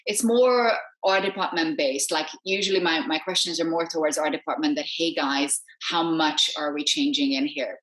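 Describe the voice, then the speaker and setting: A woman's voice: low-pitched, fairly bright tone. A woman explaining things in casual conversation as an assistant director, emphatic and repeating short phrases to make her point. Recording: roomy, echoey sound.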